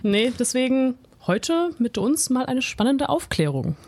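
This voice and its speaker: A woman's voice: high-pitched, big pitch swings, measured pace, darkish tone. A woman whose first language is Turkish, co-hosting a campus radio show in a casual, upbeat explaining manner.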